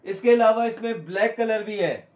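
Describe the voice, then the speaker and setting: A man's voice: high-pitched, moderate pace, darkish tone. A man with a Pakistani accent hosting a live shopping show, selling in a repetitive, urging salesman's manner.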